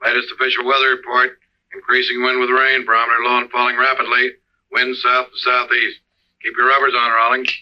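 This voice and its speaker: An actor playing a businesslike Coast Guard operator in an old-time radio drama, clipped and official over a ship-to-shore radio. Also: male voice, medium pitch, completely flat delivery, brisk. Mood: brisk, official. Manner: clipped, official, repetitive calls. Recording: dull, noisy old radio recording.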